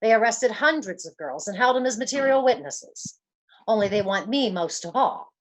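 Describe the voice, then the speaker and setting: A woman's voice: fairly high pitch, animated, moderate pace, low-quality recording. A woman playing a frightened young gangster's moll on the run, slangy and pleading, in an old-time radio detective play. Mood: scared, confessing.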